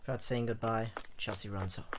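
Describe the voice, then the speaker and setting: A man hosting a let's play, mixing excitable, jokey commentary with dramatic reading aloud of the game's text. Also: fairly low pitch, brisk, background noise. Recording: game music behind the voice.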